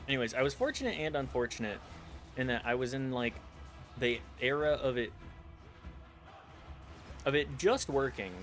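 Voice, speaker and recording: A man streaming a video game, chatting casually in a rambling, self-deprecating way with animated asides. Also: medium pitch, normal speed, pauses often. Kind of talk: casual chat while commenting on gameplay. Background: game music.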